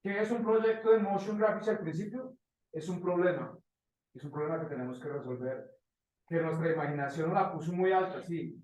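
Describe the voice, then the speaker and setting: A man teaching informally to a class, pausing to search for phrases and checking in with short questions, recorded at a distance in an echoey room. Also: a little high, a little mellow. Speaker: motion graphics teacher. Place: indoor classroom.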